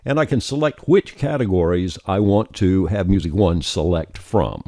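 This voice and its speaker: A low-voiced man walks through a tutorial in a folksy, conversational style. His delivery rises and falls with plenty of variety. The recording is dry and close, with no room sound. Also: animated, normal speed, warm tone, no room sound. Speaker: software tutorial presenter. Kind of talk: scripted tutorial narration, explaining steps on screen.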